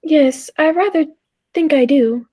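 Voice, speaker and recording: High-pitched, anxious woman acting a time traveller's companion. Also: a little slow, mellow. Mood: wary. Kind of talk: 1940s-style radio play acting and narration.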